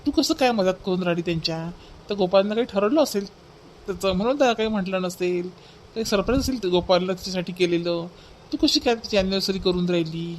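A man voicing a cautious, gently bossy husband in a cartoon family comedy, giving advice. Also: high voice, fast talker, a little hiss or hum, lightly acted. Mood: cautioning. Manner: advising, cautioning.

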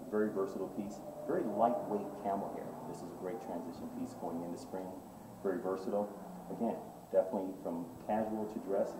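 A man's voice: deep voice, distant mic, background noise. A middle-aged man who owns a clothing boutique, showing off items with eager salesman talk full of fillers. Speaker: boutique owner. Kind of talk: product walkthrough in interview. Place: menswear boutique, indoors. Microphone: far camera. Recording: echoey shop sound from off-mic.

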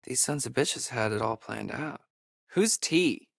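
An actor playing a wisecracking, jittery young American camp counselor in a horror video game, with slangy, hesitant, sarcastic delivery. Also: male voice, mid-range voice, animated, a little slow, gravelly, dry recording, studio quality. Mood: wry. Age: young adult. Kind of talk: naturalistic horror game acting.

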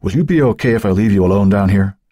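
A man playing a steady small-town police chief in an audiobook, firm, controlled and commanding. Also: lowish voice, fast talker, voice pushed up. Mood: brisk, commanding.

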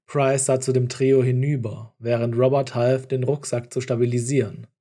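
Mellow male audiobook narrator. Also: medium pitch, little pitch movement, normal speed. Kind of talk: scripted story narration.

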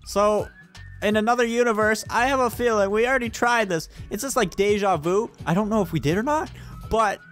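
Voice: medium pitch, brisk, fairly bright tone, faint music behind. A gaming video host giving casual gameplay commentary with light, playful roleplay, talking to fellow players.

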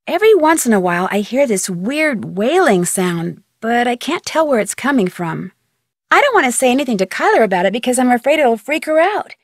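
An actress playing an inquisitive, friendly young American amateur detective in a video game, speaking clearly in an earnest, conversational way. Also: female voice, fairly high pitch, sing-song, brisk, no room sound, studio quality. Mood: puzzled, confiding. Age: young adult. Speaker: player character of a detective game. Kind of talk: scripted video game dialogue.

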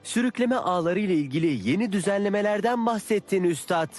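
A man's voice: sits high, animated, fairly quick, faint music behind. An actor voicing a curious, questioning young male pupil in a dubbed educational cartoon.

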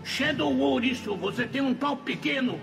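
A man's voice: extremely high pitch, normal speed, vocal fry. A man with a Brazilian accent voicing a crude, boastful, ranting cartoon villain in a parody dub. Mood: indignant ranting. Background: loud background music.

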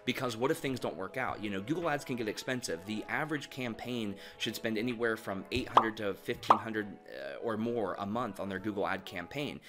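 A man's voice: lowish voice, fairly bright tone. A man running a marketing agency, giving direct advice to viewers in a casual, conversational explainer full of "you know" and "um".

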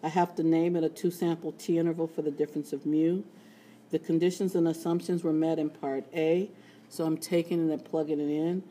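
Low raspy-voiced woman walking thru stats problems, chatty and playful, soft warm tone. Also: little pitch movement, fairly quick, slight background noise. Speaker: statistics teacher. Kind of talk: screencast math lesson. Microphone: tablet screen recording.

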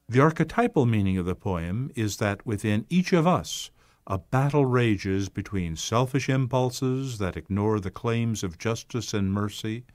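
A man reading a scripted, expository foreword in a measured, earnest manner. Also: lowish voice, darkish tone, no room sound, clean studio sound. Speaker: narrator of a foreword.

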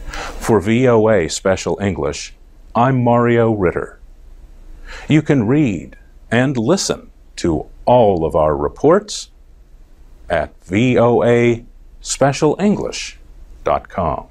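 Slow American man reading a report. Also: lowish voice, big pitch swings, darkish tone. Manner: deliberate, carefully enunciated, phrase-by-phrase delivery. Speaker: news report presenter. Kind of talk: slow scripted narration for English learners. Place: TV studio.

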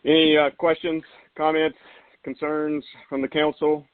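A man's voice: fairly high pitch, flat delivery, measured pace. A man chairing a formal meeting as mayor over a conference call. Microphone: telephone. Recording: echoey, muffled narrow phone line.

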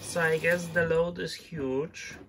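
Slow, hesitant man narrating laundry. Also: a little high, steady tone, fairly bright tone, slight background noise. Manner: unhurried, hesitant, chatty explaining. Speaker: laundry vlogger. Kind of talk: casual narration while doing laundry. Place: home laundry area.

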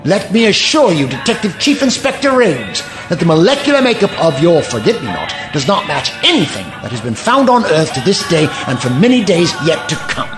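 A man's voice: a little high, sing-song, a little fast, hardly any pauses, music in the background. A man playing an eccentric, haughty alien scientist in an audio drama, with pompous, precise formal phrasing. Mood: haughty, emphatic.

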